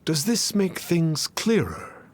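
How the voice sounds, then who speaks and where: An actor playing a pompous fraud who poses as a lofty immortal, speaking in archaic, formal, theatrical phrases for a video game. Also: male voice, fairly high pitch, big pitch swings, slow pace, a little hiss or hum. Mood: grandiose, coaxing. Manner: pompous, archaic formal speech, calls himself "one". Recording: background music.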